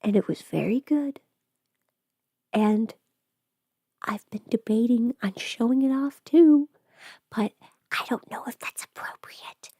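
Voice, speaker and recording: A woman's voice: on the high side, slow pace, pauses often, warm tone, husky, dry recording, full character voice. Woman playing a chatty girl streamer doing makeup, rambly n playful, drops into whispery asides, super dry room sound. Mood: hushed confiding. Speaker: livestreamer doing a get-ready-with-me makeup stream. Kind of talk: casual livestream chat, scripted audio roleplay.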